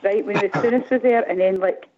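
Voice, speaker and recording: A middle-aged woman with a Glaswegian accent calling in, rambling and animated, often asking "do you know what I mean". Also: mid-range voice, fairly quick, a little hiss or hum. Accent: Glaswegian Scottish. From Glasgow, Scotland. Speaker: caller. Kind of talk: casual phone-in chat. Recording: tinny phone line.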